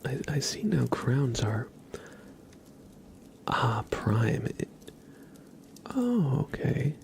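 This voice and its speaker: Soft spoken asmr streamer guy, slow n whispery, bit of gravel, chatting casually. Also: very animated, slight background noise. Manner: soft-spoken, slipping between whisper and low murmur. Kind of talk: ASMR, casual livestream chat.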